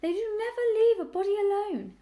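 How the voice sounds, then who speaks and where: Hoarse, grumbling old country ewe. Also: female voice, very high-pitched, lively intonation, brisk, mellow, pitched above the performer's own voice. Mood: sour, complaining. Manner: grumbling rustic dialect, complaining. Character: hoarse, complaining, lame old ewe, sour and mournful.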